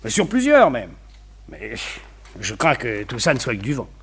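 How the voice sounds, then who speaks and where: An actor playing a well-connected, opinionated society gentleman in a French radio detective play, talkative, confiding and wryly gossipy. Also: medium pitch, huge pitch swings, moderate pace, slightly rough, exaggerated voice. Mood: confiding, briefing.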